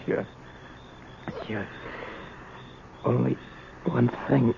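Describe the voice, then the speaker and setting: An actor playing a weak, remorseful, dying crook in a radio drama, speaking in terse, halting, rough and uneducated phrases. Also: male voice, mid-range voice, steady tone, full character voice. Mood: weary, dying. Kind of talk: 1970s radio drama acting. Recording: muffled old radio broadcast, faint hiss.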